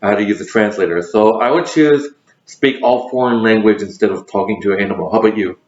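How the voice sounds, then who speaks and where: A man's voice: lowish voice, fast. A man hosting a casual question game, talking with many fillers and restarts. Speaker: host. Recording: roomy, dull lo-fi sound.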